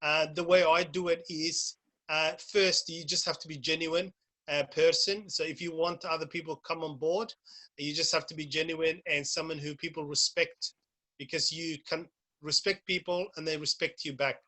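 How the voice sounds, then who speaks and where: A man's voice: fairly high pitch, steady tone, moderate pace, bright, forceful tone. A man, giving an informal, plain-spoken talk as a guest speaker over a video call, often repeating himself. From Somalia. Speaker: guest speaker, youth worker. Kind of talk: informal presentation.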